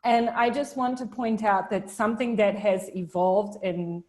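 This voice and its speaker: A woman's voice: medium pitch, fairly even intonation, a little slow, darkish tone. A young woman from New Zealand speaking over a video call in a casual discussion. She talks thoughtfully, circling back and restarting her sentences as she works through ideas, with a mid-range voice. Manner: thoughtful, hesitant, many restarts and fillers. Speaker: discussion participant.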